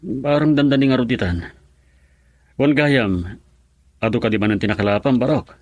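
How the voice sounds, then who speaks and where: A man acts out a plain Ilocano family man, husband and father, in radio drama style. His voice sits at a middle pitch and is recorded close and dry. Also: moderate pace, a little mellow, no echo, lightly acted. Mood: pleased, curious.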